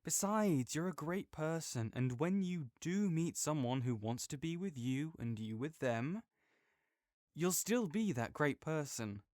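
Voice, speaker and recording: A man's voice: a little high, animated, measured pace, a little mellow, no echo, clean studio sound. A young man in an audio roleplay, talking as a supportive friend in a playful, reassuring way.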